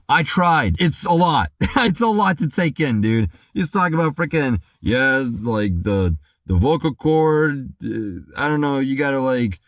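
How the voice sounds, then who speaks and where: Rambling, excitable young man. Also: mid-range voice, unhurried, hardly any pauses, no echo, clean studio sound. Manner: rambling and excitable, full of "dude" and "like"; loud sudden outbursts when hyped. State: voice worn from singing practice. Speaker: music reaction YouTuber. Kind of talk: casual reaction commentary.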